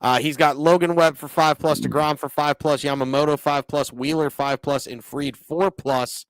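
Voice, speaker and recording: A man's voice: on the high side, fairly even intonation, normal speed, dry recording, rough recording. A man hosting a sports betting podcast, easygoingly moving through the segments and reading out picks. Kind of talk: casual betting picks talk.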